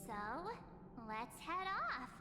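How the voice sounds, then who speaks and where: A woman's voice: extremely high pitch, wildly swooping pitch, slow pace, heavily acted. A woman voicing a chatty, bubbly young female companion who talks in an upbeat way. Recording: roomy game audio with reverb. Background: game music.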